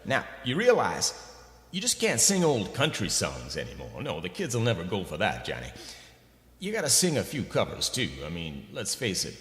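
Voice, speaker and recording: A man's voice: fairly low pitch, huge pitch swings, fairly bright tone. A man playing a pushy, greedy record company executive in a comic radio play, with slick salesman patter. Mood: pushy, excited. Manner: fast-talking salesman patter with wheedling asides. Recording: echoey, long reverberant room sound.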